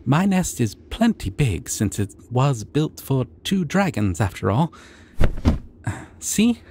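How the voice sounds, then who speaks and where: A man voicing a shy, sweet, gentle dragon in an ASMR roleplay, speaking in a hesitant, tender and soothing way. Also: medium pitch, sing-song, takes it slowly, slightly rough, full character voice. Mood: gentle, reassuring. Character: shy, sweet, gentle young male dragon, eager to please.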